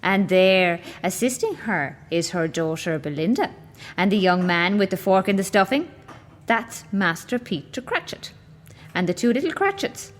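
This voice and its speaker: A woman's voice: mid-range voice, normal speed, natural voice. A woman from an amateur radio play voicing a jovial, commanding Christmas ghost. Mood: guiding. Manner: expansive, commanding, lively. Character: jovial grand ghost of Christmas, booming welcome.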